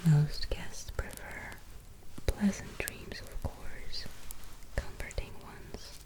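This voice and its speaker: A woman playing a gracious medieval fantasy innkeeper in an ASMR roleplay, speaking in a soft, breathy whisper with gentle, old-fashioned formal phrasing. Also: sits low, low-quality recording.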